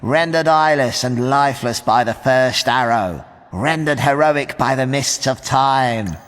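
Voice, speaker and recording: An actor playing a wry, mocking stone gargoyle in a video game, with a grandiose and dryly theatrical delivery. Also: male voice, medium pitch, a little slow, a bit bright, big-room sound, lightly acted. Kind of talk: animated game narration and dialogue.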